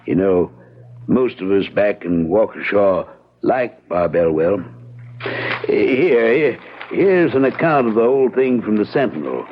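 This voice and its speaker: An actor playing a reserved, evasive American attorney in old-time radio drama style, hedging in a measured, matter-of-fact way. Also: male voice, fairly low pitch, lively intonation. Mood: grave, reluctant. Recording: tinny, narrow-band vintage radio broadcast.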